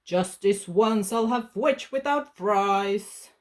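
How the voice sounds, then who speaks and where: A woman voicing a fierce, hungry male dragon who roars and shouts. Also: medium pitch, slow pace, natural voice. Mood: menacing. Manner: roaring, shouting.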